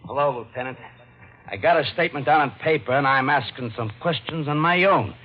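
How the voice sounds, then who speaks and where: An actor playing a blustery, touchy, slow-witted police sergeant in an old-time radio crime show. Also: male voice, a little high, lightly acted. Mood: self-important. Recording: muffled, slightly noisy old radio recording.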